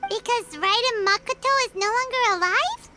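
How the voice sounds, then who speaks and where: A woman voicing an excitable tiny floating female fairy companion who talks about herself in the third person, in a game cutscene. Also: very high voice, moderate pace. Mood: curious. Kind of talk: game voice acting. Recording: game soundtrack music under voice.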